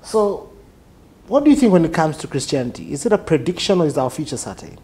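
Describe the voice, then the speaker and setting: A man in his forties hosting a casual discussion in a small studio, leading with lively questions. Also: fairly high pitch, fast. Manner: lively, leads the chat with questions. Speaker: host. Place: small office studio, indoors.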